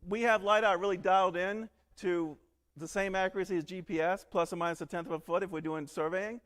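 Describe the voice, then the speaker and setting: A man from northern Alabama giving a quick, joking technical talk at a conference. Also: high-pitched, steady tone, a bit bright. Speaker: conference presenter. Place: large conference hall. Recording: long hall reverb.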